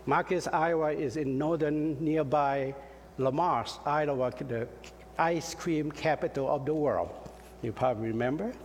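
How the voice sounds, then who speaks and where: Slow, halting middle-aged man. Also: a little high. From Laos. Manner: halting, with frequent fillers and light self-deprecating jokes. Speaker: pastor. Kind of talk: sermon. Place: church sanctuary. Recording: reverberant hall, faint ambient noise.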